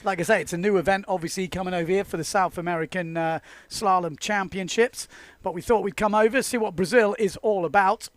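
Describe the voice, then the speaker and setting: A man's voice: sits high, steady tone, fairly quick, no room sound. Chatty man commentating live. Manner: chatty, upbeat, jokey banter.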